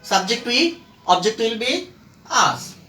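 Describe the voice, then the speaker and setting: A man gives an instructional lesson in a high, bright voice. He speaks extremely slowly, setting out short phrases one at a time with emphatic stress. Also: very animated. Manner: halting, emphatic, word-by-word phrasing. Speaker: English grammar teacher. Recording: echoey room, distant microphone.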